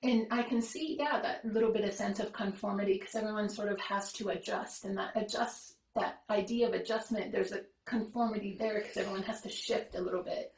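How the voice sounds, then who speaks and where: A woman's voice: medium pitch, steady tone, a little fast, few pauses, a little mellow, echoey room, rough recording. A woman hosting a podcast, talking in a rambling, reflective way with many fillers and restarts. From Romania. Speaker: podcast host. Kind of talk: casual conversation.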